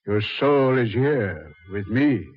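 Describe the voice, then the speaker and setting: Slow, suave, mocking Satan. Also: male voice, medium pitch, lively intonation, slightly rough. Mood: cool, commanding. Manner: unhurried, sardonic, theatrically polite. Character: Satan, a suave, mocking boatman of dead souls. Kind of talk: 1940s radio horror acting. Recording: dull 1940s radio recording.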